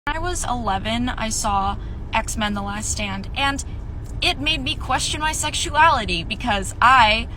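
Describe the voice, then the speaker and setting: A person in their twenties tells an animated, self-mocking story to camera. The voice sits high and bright, with a faint hiss under it. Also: female voice, a little slow, rough recording. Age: 20s. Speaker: TikTok creator.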